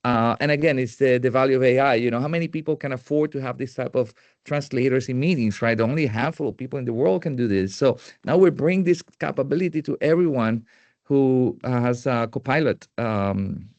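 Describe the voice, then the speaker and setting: A man's voice: medium pitch, darkish tone, dry recording, dull audio. Puerto Rican male presenter, Spanish native, mid voice, hesitant delivery, dry sound. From Puerto Rico. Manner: halting, many fillers and restarts. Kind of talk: tech presentation.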